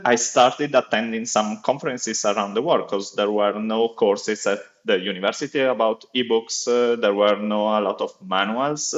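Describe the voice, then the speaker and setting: Podcast guest: Italian-accented man, low-ish voice, halting second-language English, dull lo-fi audio. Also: fairly bright tone. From Italy. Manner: hesitant, many filler sounds and restarts, laughs easily. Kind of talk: interview conversation.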